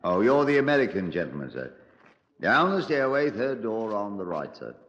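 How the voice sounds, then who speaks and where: An actor playing a courteous, formal British police sergeant in a 1950s radio drama. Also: male voice, lowish voice, very animated, normal speed, fairly bright tone. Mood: brisk, polite. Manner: formal, deferential. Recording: dull old radio, echoing corridor.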